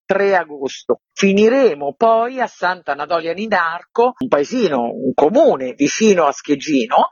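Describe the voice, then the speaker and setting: A woman's voice: on the low side, breathy edge, no echo. A woman presenting a festival program as a guest in a radio interview. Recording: muffled, dry, narrow-band sound.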